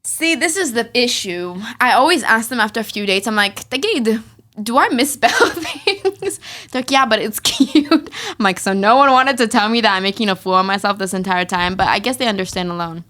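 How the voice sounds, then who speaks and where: Young american woman, bright voice, laughs and jokes a lot, swears some. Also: a little high, lively intonation, fast talker. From USA. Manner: laughing, joking, swears freely. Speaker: podcast guest. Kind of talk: casual chat.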